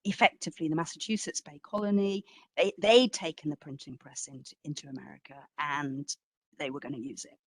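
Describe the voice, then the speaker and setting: Woman, lower voice, close dry sound. Exhibition curator answering questions informally, animated and self-deprecating with emphatic repetition. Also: fairly quick, slightly rough, no room sound. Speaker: exhibition curator and guest speaker.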